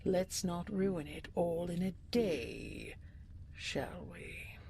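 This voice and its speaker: A regal dragon queen, played by a woman, speaks in a low, breathy near-whisper for an intimate audio roleplay. She draws her words out very slowly, formal and coaxing, adoring yet controlling. Noticeable ambient noise sits behind her voice. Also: lively intonation, warm tone, exaggerated voice. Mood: stern, warning. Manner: slow, formal, coaxing endearments.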